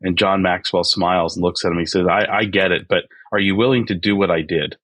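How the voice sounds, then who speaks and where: A man's voice: sits low, steady tone, brisk, a bit bright, no echo. A man in his forties, a podcast guest, talking earnestly with many fillers and restarts. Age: 40s. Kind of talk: reflective interview talk.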